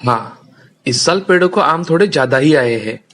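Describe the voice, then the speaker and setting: A man's voice: on the high side, expressive, moderate pace, a bit bright. A man voicing a polite, earnest, hardworking young village farmer in a cartoon story. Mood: friendly. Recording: music under the voice.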